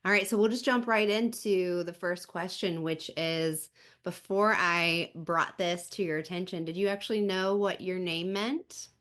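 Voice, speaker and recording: A woman's voice: lowish voice, steady tone, unhurried, fairly bright tone. A woman hosting a podcast, asking questions in a friendly, affirming way during a casual interview. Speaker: podcast host.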